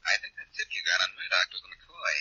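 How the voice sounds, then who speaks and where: Cocky wisecracking detective, lowish forceful voice, breezy banter, old radio drama, dull sound. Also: male voice, very animated, fast talker, slightly rough, heavily acted. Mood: confiding. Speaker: actor, radio drama lead. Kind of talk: 1940s radio acting.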